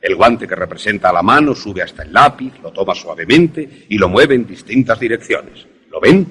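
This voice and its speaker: A man acting an enthusiastic professor in a radio drama, lecturing in a deliberate, didactic, theatrical way. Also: on the low side, lively intonation, fairly bright tone, slightly rough. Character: enthusiastic male professor demonstrating an invention to students.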